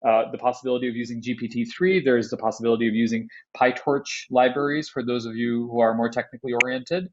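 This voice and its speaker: Male panelist, mid pitch, halting unscripted delivery, slightly muffled lo-fi audio. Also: flat delivery, background noise. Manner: restarts and repeats words often. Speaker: panel speaker. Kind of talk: conference panel remarks.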